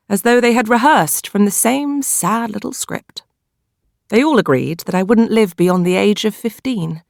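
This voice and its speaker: A woman with a British accent narrates a novel in the first person, in a measured, expressive storytelling manner. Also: mid-range voice, vocal fry, no room sound, clean studio sound. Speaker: audiobook narrator.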